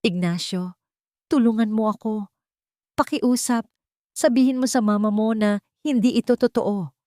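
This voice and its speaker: A woman's voice: a little high, measured pace, dark tone, pitched above the performer's own voice, lightly acted. A woman narrator voicing a desperate young wife and mother who pleads tearfully in a story. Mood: desperate pleading. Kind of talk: storybook drama dialogue.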